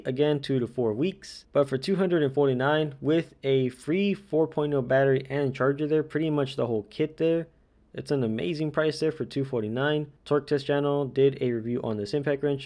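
A man's voice: mid-range voice, a little mellow. A man doing an informal, matter-of-fact voice-over as a host running through product deals. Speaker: tool deal reviewer and channel host. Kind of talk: casual product deal rundown voice-over.

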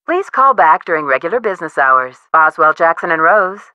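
A woman's voice: on the low side, big pitch swings, a little fast, pushed and bright, no echo, highs cut off. A woman voicing a formal, businesslike law-office receptionist answering calls in a video game. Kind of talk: video game voice acting, phone greeting.

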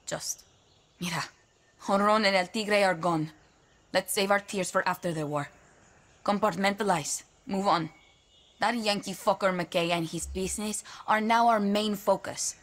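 A woman's voice: mid-range voice, close to an everyday voice. A woman acts out a hard-nosed guerrilla leader in a video game. Her mid-range voice is clipped and commanding, handing out orders with blunt swearing. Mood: curt. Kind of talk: video game acting, briefing.

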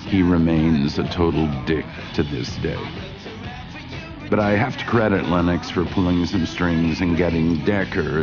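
An actor playing a bitter, self-loathing infected ex-mercenary, narrating a game cutscene in a slow, brooding manner. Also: male voice, low-pitched, darkish tone, dull audio. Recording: music behind the voice.

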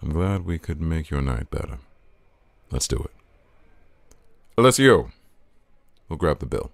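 An actor playing a suave, teasing, flirtatious man in an audio roleplay, smooth-talking and informal. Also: rumbling low, very animated, measured pace, no room sound, exaggerated voice. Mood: gracious, inviting.

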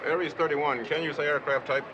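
A man's voice: on the high side, steady tone, lightly acted. A man playing a focused air traffic controller in a film, giving clipped, businesslike instructions with small hesitations. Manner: measured, businesslike, with hesitant pauses. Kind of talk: clipped procedural radio talk in a film.

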